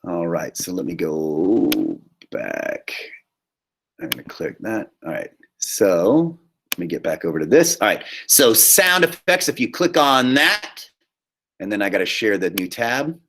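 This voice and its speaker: Bright-voiced American man teaching casually. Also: low-pitched, big pitch swings, a little slow, creaky, slight background noise. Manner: chatty, checks in often with okay and all right. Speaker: high school theater teacher. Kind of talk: casual instructional screen-share lesson. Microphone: computer mic on a video call.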